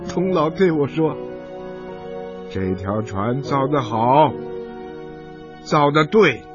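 A man's voice: mid-range voice, highs cut off. A man playing a proud, fond elderly boat worker and grandfather in a radio drama, reminiscing with feeling. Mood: stirred, proud. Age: older. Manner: emotive, reminiscing storyteller.

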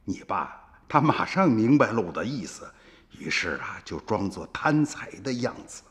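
A man's voice: lowish voice, big pitch swings, moderate pace, fairly bright tone. An actor playing a stern, wily older villager, an uncle and grandfather, in a radio drama with emphatic, exclamatory rustic speech. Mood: calm, recounting. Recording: reverberant studio drama with music.

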